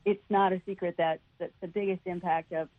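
A woman's voice: on the low side, fairly even intonation, a little fast, no room sound. A woman giving earnest, emphatic answers as an interview guest over a band-limited phone line. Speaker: non-profit founder, interview guest. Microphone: telephone.

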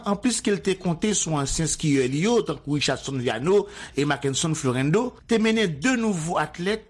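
Sports reporter, slightly high voice. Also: male voice, husky. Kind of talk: news report.

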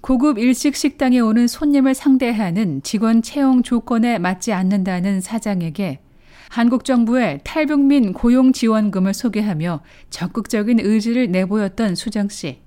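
A woman narrating a documentary news feature in a measured, formal script. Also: a little high, mellow. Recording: narration over faint music.

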